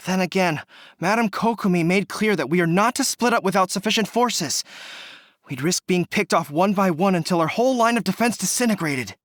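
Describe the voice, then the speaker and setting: An actor playing an earnest, cautious young army general in a video game, speaking in formal military phrases. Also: male voice, high voice, expressive, fairly bright tone, no room sound, clean studio sound. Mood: serious, cautious. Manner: formal, measured military briefing. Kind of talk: English video game voice acting.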